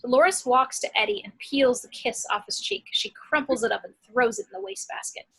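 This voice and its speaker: A woman's voice: fairly high pitch, expressive, a little fast, fairly bright tone, rough recording. A woman reading action descriptions from a film script as scripted narration. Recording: clear background noise, home recording.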